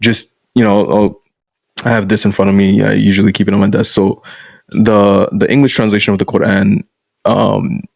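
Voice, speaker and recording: A man's voice: fairly low pitch, gravelly, a little mellow, no room sound. A young man who grew up in Canada, a guest coach talking frankly and casually in a podcast conversation and often calling the listener "bro.". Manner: frank, blunt, peppers speech with "bro".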